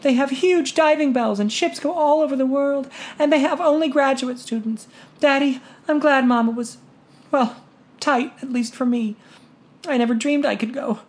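A man voicing a shy, earnest young woman student in an audiobook, his voice pitched well up and set back in a softer, more distant tone. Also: moderate pace. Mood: eager.

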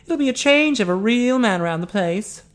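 A young woman from an audiobook novel, as a narrator puts her on. Her voice is soft and pitched well up, with lively swings. She is caring and protective, with a teasing streak. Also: moderate pace, natural voice. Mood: earnest.

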